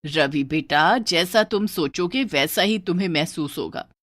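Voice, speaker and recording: A woman playing a firm, sensible mother of grown children in a horror story, admonishing calmly. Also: lowish voice, unhurried, no room sound, studio quality, pitched below the performer's own voice.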